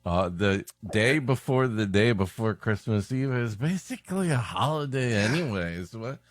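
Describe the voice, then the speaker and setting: Low, swooping, sarcastic male podcast co-host. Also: lively intonation, normal speed, no echo. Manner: sarcastic, mock-exasperated. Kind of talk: joking riffing on a story being read.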